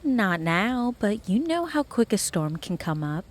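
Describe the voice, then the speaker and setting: An actress playing a lively, teasing woman in her thirties, in a naturalistic audio drama. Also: mid-range voice, unhurried. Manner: playful, teasing, animated. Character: lively, teasing woman housemate, a live wire.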